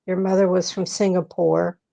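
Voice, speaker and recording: A woman's voice: lowish voice, flat delivery, moderate pace, gravelly, mellow. A woman hosting an interview in a chatty way, reading out her guest's background from notes. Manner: chatty, leafs through notes. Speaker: host. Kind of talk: interview host.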